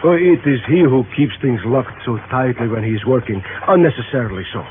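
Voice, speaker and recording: An actor playing a self-important, evasive scientist with formal, grandiose phrasing in a 1950s radio drama. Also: male voice, medium pitch, expressive, brisk, close to an everyday voice. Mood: guarded, smug. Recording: muffled, noisy 1950s radio recording.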